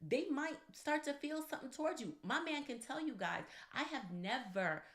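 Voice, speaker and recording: A woman's voice: high voice, lively intonation, moderate pace, fairly bright tone. A woman co-hosting a relationship advice show, talking conversationally and emphatically, straight to the listeners. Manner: emphatic, addresses listeners directly. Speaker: podcast co-host. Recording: echoey, roomy sound.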